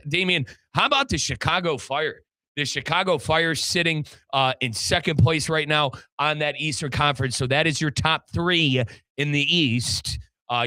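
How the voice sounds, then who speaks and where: Bright, punchy male sports radio host. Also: a little high, dry recording. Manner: chatty, emphatic repetition, addresses listeners as folks. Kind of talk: sports talk show hosting with sponsor reads.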